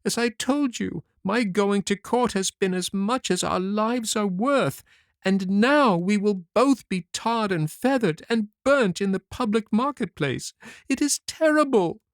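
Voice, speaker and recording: A man's voice: high voice, lively intonation, measured pace, dry recording, studio quality, pitched above the performer's own voice. A man voicing a despairing, scolding old peasant mother in a storybook reading, sobbing and lamenting. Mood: tearful reproach.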